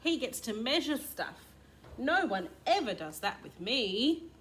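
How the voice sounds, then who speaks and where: Storybook cutlery character, played by a woman: wistful, emphatic, admiring, higher pitch with lots of lilt. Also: very animated, a little slow, fairly bright tone. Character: admiring, over-serious cutlery friends of a spoon.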